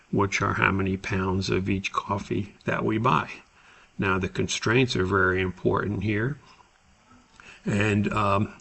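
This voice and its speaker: A man giving an unscripted, step-by-step tutorial as an instructor, explaining in a halting, methodical way. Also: fairly low pitch, creaky, fairly bright tone, breathy edge. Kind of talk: screen-recorded spreadsheet tutorial, unscripted explanation.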